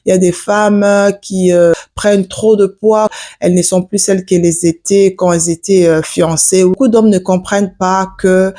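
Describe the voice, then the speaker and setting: A woman's voice: medium pitch, steady tone, moderate pace, dark tone. A woman in her forties from Africa, giving advice to her viewers in a casual vlog, earnest, with many hesitations. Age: 40s. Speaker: vlogger. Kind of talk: casual advice talk to camera.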